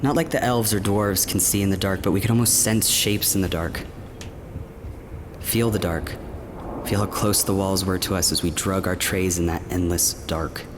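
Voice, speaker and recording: A man's voice: deep voice, fairly even intonation, moderate pace, background noise, close to an everyday voice. An actor playing a haunted young man, telling his past in a hesitant, sombre way in a fantasy audio drama. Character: haunted young man recalling childhood enslavement.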